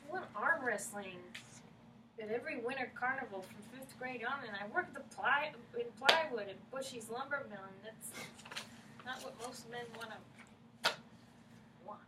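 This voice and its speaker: A woman acting a guarded, flustered small-town tomboy in a staged play reading, blunt and excitable, repeating words in bursts. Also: fairly high pitch, normal speed, pauses often, close to an everyday voice. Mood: shy, confessing. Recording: roomy, distant microphone, faint noise.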